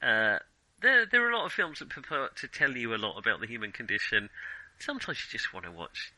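A man co-hosting a film podcast in casual discussion, giving emphatic opinions and halting as he thinks aloud. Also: mid-range voice, very animated, pushed and bright, no echo, low-quality recording.

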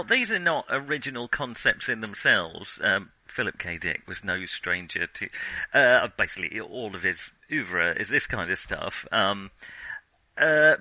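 A male podcast co-host with a very bright, forward voice in a dry, close recording. He talks casually about films, feeling his way through sentences and revising them as he goes. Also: medium pitch, no room sound, low-quality recording. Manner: hesitant, self-correcting, searching for words. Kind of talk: casual film discussion.

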